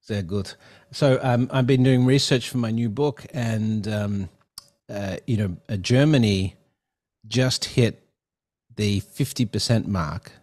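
A middle-aged man hosts a podcast from a home studio, speaking over a headset mic on a video call. His voice sits fairly low, and he talks in a loose, rambling way full of fillers and restarts. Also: slow, darkish tone. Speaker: podcast host. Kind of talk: casual interview chat. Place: home studio with neon sign. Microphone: headset and desk mic on video call.

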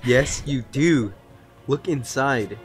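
A man's voice: mid-range voice, takes it slowly. Teasing young man chatting over game music. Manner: teasing, joking, profane. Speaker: gameplay commentator watching partner play. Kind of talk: casual banter, game commentary.

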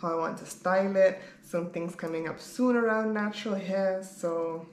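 A woman's voice: mid-range voice, moderate pace. A woman in her thirties chatting casually to camera as a vlogger, candid and rambling. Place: bare-walled room at home, indoors. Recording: roomy echo, clear background noise.

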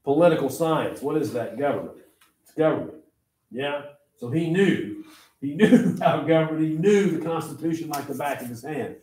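A man's voice: on the high side, lively intonation, normal speed, warm tone. An older man with an Oklahoma accent lecturing as a history teacher, emphatic, repeating key phrases and quizzing his listeners. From Oklahoma, United States. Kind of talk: classroom lecture. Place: medium-sized classroom, indoors. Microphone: far camera at back of room. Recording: echoey classroom, distant camera mic, background noise.

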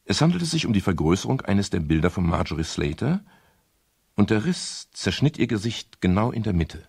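An actor playing a wry, world-weary private detective in a 1970s German radio crime drama, speaking in a dry, measured and polite way. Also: male voice, lowish voice, big pitch swings, gravelly, darkish tone, slightly rough, no room sound, full character voice. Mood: sober narrating. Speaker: lead actor and first-person narrator. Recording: 1970s radio play with music.

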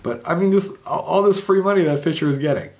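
A man's voice: a little high, quick pace. A young man hosting a sports news show from home, talking casually with many fillers, restarts and wry asides. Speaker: sports blog host. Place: home room indoors.

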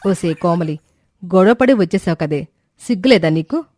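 A woman voicing an indignant older mother who chides her married daughter, in an animated moral story. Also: fairly low pitch, normal speed, slight background noise, natural voice. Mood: chiding. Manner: chiding, indignant.

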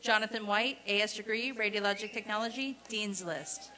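A woman reading out a formal roll of graduates at a commencement, in a deliberate, repetitive announcing cadence. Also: medium pitch, sharp tone, slight background noise. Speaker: commencement name reader. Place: outdoor college commencement ceremony.

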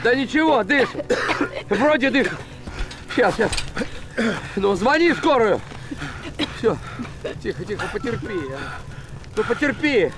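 A man's voice: very high voice, very animated, measured pace, pauses often, exaggerated voice. An actor playing an earnest, protective provincial man with a plain, colloquial way of talking in a screen drama. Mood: anxious, soothing. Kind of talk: naturalistic screen drama acting. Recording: film soundtrack with background music.

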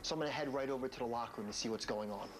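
An American man in his thirties, a high school principal, speaking in a stern, authoritative way. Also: rapid-fire. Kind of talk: reality show narration and firm talk with a student. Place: school offices and locker room. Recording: noisy reality TV location sound.

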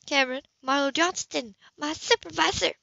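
A woman's voice: high voice, normal speed, vocal fry, sharp tone, no echo, dull audio. A woman reading a romance novel aloud as an amateur narrator, stumbling and restarting now and then. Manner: reads with frequent slips and restarts. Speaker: audiobook reader.

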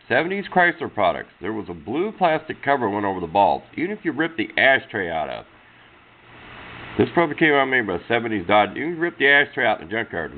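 A man giving a casual, hands-on explanation as a hobbyist, rambling along in folksy, informal speech on noisy, muffled amateur camera audio. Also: medium pitch. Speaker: hobbyist presenter of radio demonstration.

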